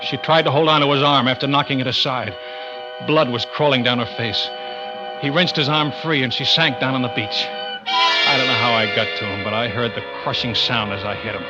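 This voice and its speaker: An actor playing a tough, terse pilot who narrates a crime thriller in old-time radio suspense style. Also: male voice, mid-range voice, steady tone, a little fast, lightly acted. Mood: grim. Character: hard-boiled male pilot narrating his own crime thriller. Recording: dull vintage radio recording under loud music.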